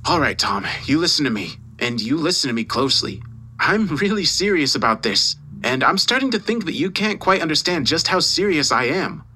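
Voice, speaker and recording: A man's voice: medium pitch, moderate pace, slight background noise, natural voice. A man playing a furious, condescending newspaper boss who berates his employee in a dramatised audio story. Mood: angry, domineering. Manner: condescending, mocking, forceful.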